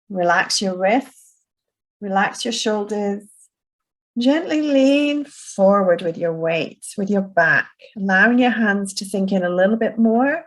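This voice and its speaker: Slow, soothing woman instructor. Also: mid-range voice. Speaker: relaxation instructor. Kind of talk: guided self-soothing session.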